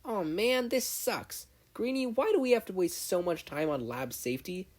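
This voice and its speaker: A performer voicing a brash, self-important, excitable teenage girl inventor in a story reading. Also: mid-range voice, moderate pace, pitched above the performer's own voice. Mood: whiny, cocky. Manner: loud, exasperated, boastful.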